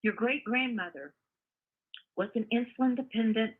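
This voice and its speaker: An older woman calling in by phone to give her opinion, halting and earnest, often repeating herself. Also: fairly high pitch. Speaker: caller, mother of the host. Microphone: phone call. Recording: muffled, narrow phone call audio.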